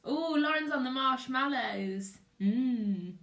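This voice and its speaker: British lady teacher on a livestream, high chirpy singsong voice saying hi to viewers, echoey room. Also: expressive, slow pace, a bit bright. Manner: chirpy, sing-song greetings. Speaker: primary school teacher hosting a livestream storytime. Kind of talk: casual livestream chat greeting viewers. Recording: roomy, echoey room sound.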